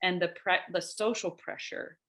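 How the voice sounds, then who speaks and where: A woman's voice: fairly low pitch, steady tone, normal speed, fairly bright tone. A woman who is a guest on a talk show, speaking thoughtfully and working through her points in an orderly way. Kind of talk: casual conversational interview.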